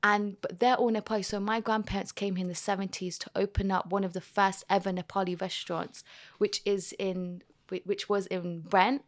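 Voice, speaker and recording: A young woman talks as a podcast guest, chatty and expressive, sprinkling "like" through her sentences. Her voice sits at a middling pitch, and the recording is dry and close with little room sound. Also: normal speed, no echo. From London, England. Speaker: guest, TV and radio presenter. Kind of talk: casual podcast chat.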